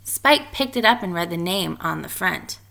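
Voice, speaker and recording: A woman reading a children's picture book aloud in an expressive storytelling style. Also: lowish voice, moderate pace, gravelly. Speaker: story reader.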